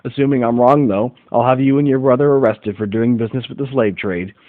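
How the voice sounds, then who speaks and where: A man's voice: medium pitch, steady tone, brisk, no room sound, narrow, tinny audio, close to an everyday voice. A man playing a confident, observant detective in an amateur radio play, with formal, instructive phrasing. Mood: accusing. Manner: formal, didactic, declarative phrasing. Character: confident, observant male detective in 1940s America.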